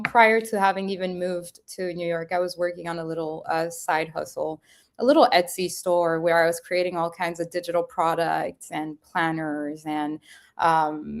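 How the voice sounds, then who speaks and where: A woman guest telling her personal story in an earnest, spiritual, meandering way with many fillers. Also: lowish voice. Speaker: guest, event company founder. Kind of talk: reflective personal storytelling in conversation.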